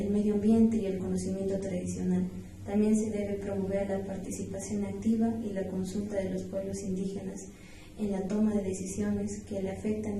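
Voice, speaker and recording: A woman's voice: medium pitch, warm tone. A young woman with a southern Peruvian accent giving prepared, formal answers as an interview guest. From San Román province, Puno, Peru. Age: young adult. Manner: formal, recited. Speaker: guest, university student. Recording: echoey room, distant mic, faint noise.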